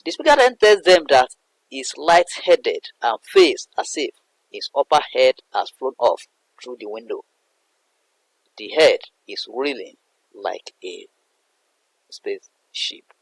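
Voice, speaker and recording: A man's voice: medium pitch, animated, slow pace, gravelly, long pauses, dry recording, poor audio. A man explaining a poem as a literature teacher, in a didactic way that repeats and rephrases points. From Nigeria. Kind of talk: explanatory poetry analysis lesson.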